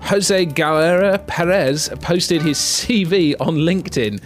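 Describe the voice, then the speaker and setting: A man hosting a casual tech podcast, chatting with co-hosts and reading news items in a brisk presenter tone. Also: on the high side, soft background music. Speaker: podcast host.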